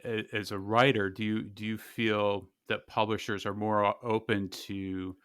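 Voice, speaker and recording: A man asking casual interview questions as a podcast host, haltingly searching for words. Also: lowish voice, level tone, a bit bright, clean tone, no echo, studio quality.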